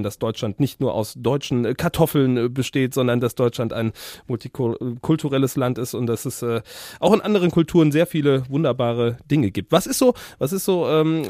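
A man's voice: medium pitch, darkish tone, dry recording, studio quality. A man hosting a podcast, putting casual questions to a guest in a hesitant, roundabout way. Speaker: podcast interviewer, host. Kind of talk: casual conversational interviewing.

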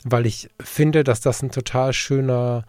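A man's voice: mid-range voice, steady tone, measured pace, darkish tone, no room sound. A man hosting a podcast, telling stories in a reflective, earnest way during a casual conversation. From Germany, Ruhr area region. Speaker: podcast host.

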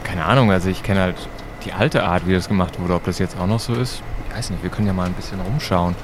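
A man's voice: low voice, fast talker, background noise, natural voice. A man playing an inquisitive, easygoing time-travelling battery expert in an audio drama, speaking casually and a little hesitantly. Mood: curious, explaining. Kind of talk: amateur educational audio drama dialogue.

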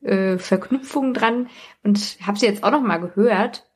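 A woman's voice: mid-range voice, normal speed, a little mellow, slightly rough. A woman in casual podcast conversation, talking informally and personally. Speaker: podcast guest or co-host.

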